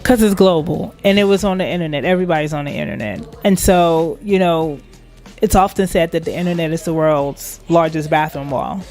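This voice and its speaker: A woman's voice: low-pitched, a little fast, a little mellow, soft background music. A woman from Liberia, a guest in an interview, speaking with pride and emphasis and laughing often. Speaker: guest, internet radio station manager. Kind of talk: casual interview chat.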